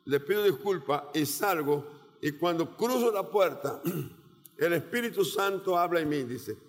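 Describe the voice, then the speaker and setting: A man's voice: on the high side, expressive. A man with a Portuguese accent delivers a sermon in a slightly raised voice, taking his time over each phrase. His words ring out with the long reverb of a large hall. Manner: slow, deliberate preaching with measured pauses. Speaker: missionary preacher. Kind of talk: sermon with personal testimony.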